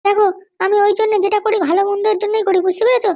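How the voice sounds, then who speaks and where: A middle-aged Bengali wife with an extremely high, childlike cartoon voice. She talks quickly and bossily, nagging and commanding, always suspicious and scheming. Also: little pitch movement, a little mellow. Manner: nagging, commanding, keeps demanding to be understood. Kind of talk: animated comedy cartoon dialogue.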